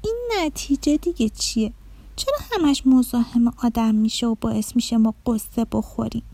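A woman's voice: fairly high pitch, warm tone, no echo. A woman voicing a curious, innocent kindergarten girl in a children's story, with a wondering childlike manner. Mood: puzzled.

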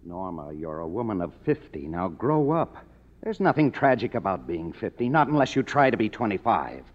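A man's voice: on the low side, sing-song, normal speed. Bitter cynical younger man, radio drama, muffled hissy recording, echoey hall. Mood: harsh, blunt. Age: young adult. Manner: sardonic, hard-boiled. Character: cynical younger kept man, a struggling writer, bitter narrator. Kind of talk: 1950s radio drama acting.

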